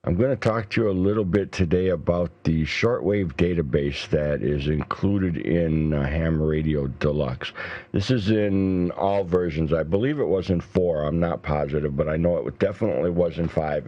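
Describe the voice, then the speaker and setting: Very deep-voiced man giving a tutorial. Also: moderate pace, mellow, no echo, highs cut off. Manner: informal, explanatory. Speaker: software tutorial presenter. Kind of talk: casual step-by-step screen tutorial. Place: indoors, voice-over for a screen recording.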